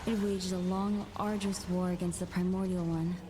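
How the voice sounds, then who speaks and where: A woman's voice: on the low side, little pitch movement, normal speed, noisy background. A woman reading scripted narration in a solemn, formal storytelling manner. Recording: music under narration, played from video. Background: music.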